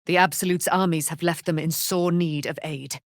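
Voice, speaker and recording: A woman's voice: deep voice, steady tone, moderate pace, dry recording, studio quality. A woman playing a proud, righteous immortal warrior in a video game, with grand, formal, declamatory delivery and archaic phrasing. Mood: proud, gracious. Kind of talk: dramatic video game voice acting.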